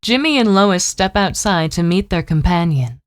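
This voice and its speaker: A woman's voice: on the low side, lively intonation, normal speed, background noise. A woman narrating an audio drama in a scripted storytelling style.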